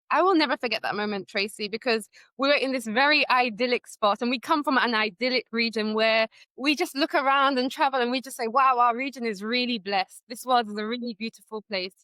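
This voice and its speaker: A woman's voice: on the high side, moderate pace, few pauses, pushed and bright, no echo. A woman scholar from Trinidad, a guest in a conversation, reflectively recounting a personal story. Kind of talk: conversational interview.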